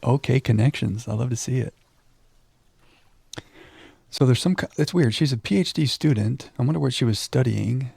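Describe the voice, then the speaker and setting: Mellow, creaky-voiced male game streamer. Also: medium pitch, moderate pace, pauses often, no room sound. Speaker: livestream game host. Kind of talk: casual chat with viewers, reading game text aloud.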